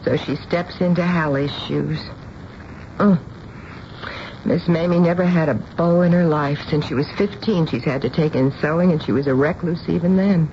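A woman's voice: sits low, normal speed. An actress playing a chatty, matter-of-fact middle-aged wife and mother with a wry manner in a radio drama. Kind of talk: radio drama narration and acting. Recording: dull, hissy broadcast radio recording.

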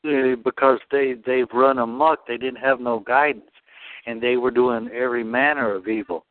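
Man, caller, earnest, devout, halting, phone line, dry sound. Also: medium pitch, steady tone, no room sound. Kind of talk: spiritual discussion.

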